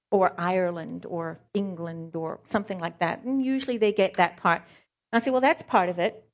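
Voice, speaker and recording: A middle-aged woman preaches in a relaxed, chatty way, her light Scottish and South African accent showing in a few words. She mixes scripture with wry stories and plenty of ums, her pitch sitting mid-range for a woman, heard on a slightly dull, muffled recording. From born in Scotland, raised in South Africa. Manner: informal, frequent ums, wry humor. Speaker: lay preacher, hand therapist. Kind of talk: conversational sermon with anecdotes.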